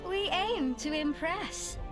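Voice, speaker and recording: A woman's voice: very high-pitched, expressive, slow, loud music behind the voice, heavily acted. A woman voicing a brash, sarcastic, playful young female mercenary in a video game cutscene.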